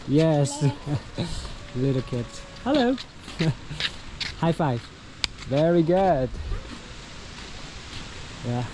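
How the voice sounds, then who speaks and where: A slow, fairly high-voiced man with a Vietnamese accent talks viewers through a village walk as their local guide. He pauses on fillers and keeps the chat loose. Outdoor noise sits clearly under his voice. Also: very animated. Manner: chatty, halting with many fillers. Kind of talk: walking travel vlog. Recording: noisy outdoor recording.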